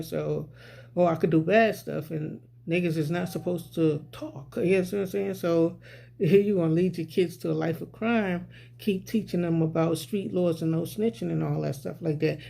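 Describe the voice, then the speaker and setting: A man's voice: on the high side, gravelly, mellow. A man vlogging a rambling, casual social commentary, often repeating "you know what I'm saying.".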